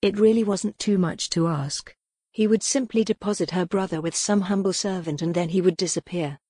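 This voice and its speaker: A woman's voice: lowish voice, normal speed, dark tone, breathy edge, no room sound, clean studio sound. A woman narrating a historical novel as scripted dramatic audiobook fiction.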